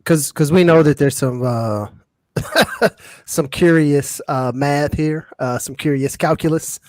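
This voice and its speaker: A man's voice: on the high side, slow, darkish tone, no echo. Laid-back man in a dry recording. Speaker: podcast co-host. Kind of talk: casual chat.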